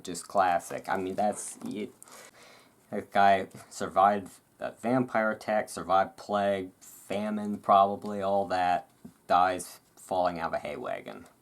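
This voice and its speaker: A host reading aloud in a relaxed ASMR style, with informal, self-deprecating asides. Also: low-pitched. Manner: informal, self-deprecating over hard words. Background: thunderstorm ambience.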